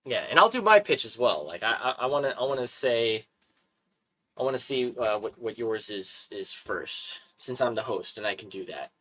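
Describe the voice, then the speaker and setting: A man hosts a loose, casual chat with a bright, crisp voice. He talks in animated bursts, laughs often and repeats his words as he goes. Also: mid-range voice, normal speed. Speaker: host.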